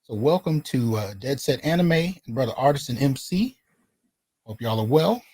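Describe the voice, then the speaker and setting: A man's voice: mid-range voice, animated, normal speed, a little mellow, breathy edge, no room sound. A man hosting an interview, speaking emphatically and often checking in with his listeners. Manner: emphatic, often asks "right?". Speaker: host.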